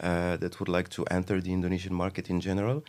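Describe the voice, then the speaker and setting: A man from Morocco with a notably deep, low voice speaks as a guest on an interview podcast. He explains his advisory work in a relaxed, thoughtful way. His talk is full of fillers and restarts as he works through each idea. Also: very fast.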